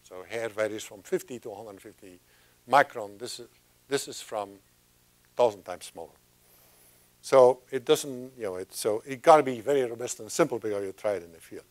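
A man's voice: mid-range voice, moderate pace, a little mellow. Older man giving a rambling science talk. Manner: rambling, chatty, with many restarts and asides. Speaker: guest speaker. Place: lecture room at a podium. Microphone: lapel mic.

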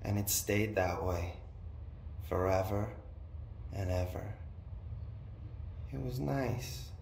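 An actor playing an eerie, unhinged possessed brother in a video game, recounting his dreams in a dreamy, deliberate way. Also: lowish voice, creaky, a little mellow. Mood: wistful, detached. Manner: dreamy, deliberate, unsettling storytelling. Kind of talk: video game dramatic monologue. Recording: roomy echo, faint background noise.

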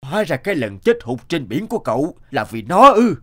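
An actor playing a stout, middle-aged connoisseur in an animated fable, grave and admonishing, explaining in measured phrases. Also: male voice, on the high side, exaggerated voice. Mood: surprised.

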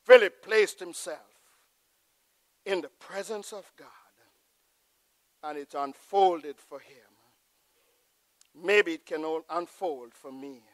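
A middle-aged pastor preaching a sermon from the pulpit of a large church, swelling to loud emphatic peaks and dropping to a hushed prayerful close. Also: male voice, a little high, slow, pauses often, no echo. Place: large church sanctuary with choir behind. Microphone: pulpit mic.

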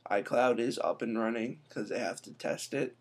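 A young man chats through a tech tutorial while recording his screen. His voice sits at a middling pitch. He talks casually and keeps breaking off for quick asides into a laptop webcam mic in an indoor room. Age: young adult. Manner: chatty, quick asides, says "I'm telling you". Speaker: tech vlogger.

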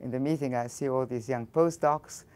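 A woman's voice: extremely low pitch, moderate pace, a little mellow. An older woman from Egypt with an Egyptian accent, answering interview questions as a physics professor in a thoughtful way, often restarting her sentences. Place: studio interview against a backdrop.

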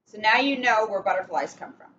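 A young adult woman reading a children's story aloud in an expressive, emphatic way, with casual asides. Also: medium pitch, fairly quick. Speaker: police officer reading a picture book. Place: indoors in a catering cafe. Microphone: webcam a short distance away. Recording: muffled, noisy webcam room recording.

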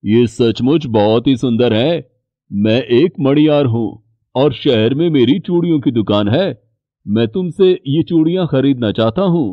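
A man voicing a kind, polite middle-aged bangle merchant in an animated story. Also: mid-range voice, measured pace, dry recording, highs cut off, natural voice. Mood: kindly. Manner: polite, sympathetic.

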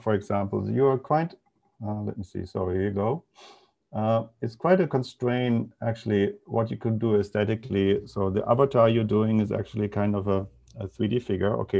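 A middle-aged man with a German accent giving an informal academic talk over a video call, haltingly thinking aloud. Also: fairly low pitch, creaky, a little mellow. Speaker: presenter, media artist and professor. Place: indoor room on a video call.

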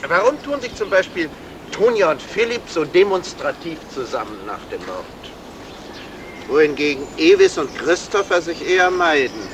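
Courteous sleuth, deliberate, probing, very varied intonation, noisy old radio drama. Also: male voice, fairly high pitch, sing-song. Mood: intrigued. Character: shrewd, courteous gentleman detective, probing suspects. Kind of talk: 1970s German radio crime drama acting. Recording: noisy vintage radio play recording.

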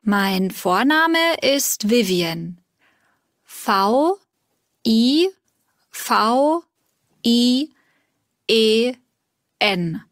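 A woman calling to register for a course, spelling her name slowly and carefully in a scripted learner dialogue. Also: fairly high pitch, expressive, no room sound, clean studio sound. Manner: slow, careful spelling and numbers.

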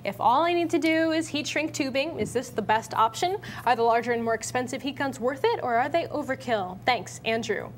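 A woman's voice: sits high, a little fast, hardly any pauses, slight background noise. A woman in her thirties with an American accent, casually presenting and reading aloud in an upbeat, playful manner as a show co-host. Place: TV studio at a desk.

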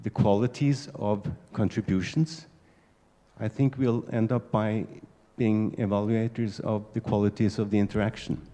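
A low, mellow male voice answers from the stage of a large lecture hall. He reflects unhurriedly, and a long hall reverb trails his words. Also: steady tone, moderate pace. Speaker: keynote speaker. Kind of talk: answering questions after a talk. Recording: echoey hall.

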